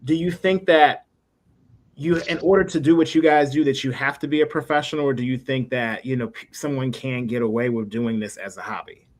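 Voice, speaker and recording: A man's voice: mid-range voice, fairly even intonation, a little fast. A man hosting a casual interview, rambling his way around to each question. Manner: rambling, circles around questions before landing them. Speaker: interview host.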